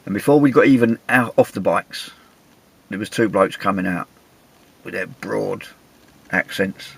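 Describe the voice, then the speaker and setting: An English man, middle-aged, with a gravelly, husky voice, telling a travel story in a chatty, wry, self-mocking way. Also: fairly low pitch, a little slow. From England. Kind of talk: casual anecdotal storytelling.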